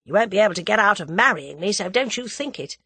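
A woman's voice: medium pitch, big pitch swings, normal speed, bright, forceful tone, slightly rough, no echo, exaggerated voice. A woman voicing a bossy, lovestruck young man adventurer in an audiobook, clipped and emphatic. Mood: ardent. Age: young adult. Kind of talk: audiobook character dialogue.

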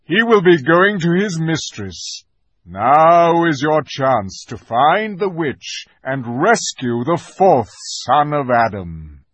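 A man voicing a noble, solemn great lion king in an audiobook, giving weighty, deliberate commands. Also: medium pitch, sing-song, a bit bright, no room sound, highs cut off, heavily acted. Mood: urgent command. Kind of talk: dramatic audiobook character voice.

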